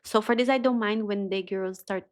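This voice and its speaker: A Brazilian woman with a São Paulo accent, a native Portuguese speaker chatting as a podcast guest in halting English, laughing often. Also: medium pitch, steady tone. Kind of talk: casual chat.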